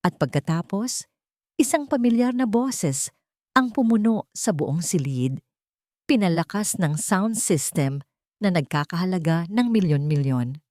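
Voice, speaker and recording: A woman narrating a dramatic first-person audiobook story in a measured, storytelling way. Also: on the low side, lively intonation, darkish tone, no echo, clean studio sound.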